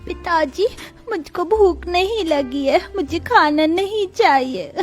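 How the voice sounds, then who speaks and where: A woman's voice: very high voice, drawn-out words, soft background music, full character voice. A woman voicing a small, poor, innocent daughter in a melodramatic story, plaintive and childlike. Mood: tearful.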